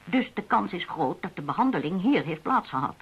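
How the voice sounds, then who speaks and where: A woman's voice: fairly low pitch, big pitch swings, brisk, breathy edge, full character voice. An actress playing a level-headed, thoughtful woman assisting an investigation in a radio play. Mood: reasoning. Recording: dull lo-fi radio drama recording.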